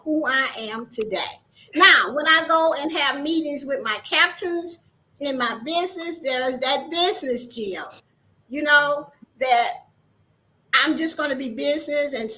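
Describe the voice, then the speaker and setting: A woman's voice: high-pitched, slight background noise, low-quality recording. A woman business owner in a casual chat, teasing her friend and laughing often as she tells stories. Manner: laughing, teasing, animated storyteller.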